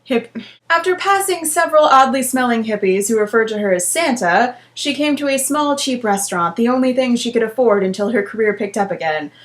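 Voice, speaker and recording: A woman with a fairly high voice reads a story aloud in a theatrical way. She breaks in with sardonic, deadpan remarks that poke fun at the writing. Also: brisk. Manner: deadpan, mocking asides at own writing. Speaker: book vlogger and host. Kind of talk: dramatic reading with sardonic commentary.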